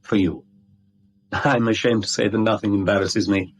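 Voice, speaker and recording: An actor playing a smug middle-aged blackmailer in a 1970s radio drama, suave, wordy and mockingly courteous. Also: male voice, fairly low pitch, fairly even intonation, normal speed, slight background noise, natural voice. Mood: mock-polite. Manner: suave, wordy, mock-polite, teasing.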